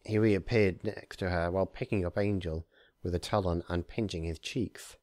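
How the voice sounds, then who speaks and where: A man reading a story aloud as narrator, in an expressive scripted storytelling style. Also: sits low, normal speed, no room sound, clean studio sound. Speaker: narrator, fan fiction reader.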